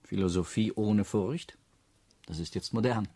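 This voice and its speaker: A man's voice: lowish voice, unhurried, dark tone. An actor playing a stiff, educated, self-disciplined brother in a German radio play, formal and reserved in his replies. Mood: dryly ironic.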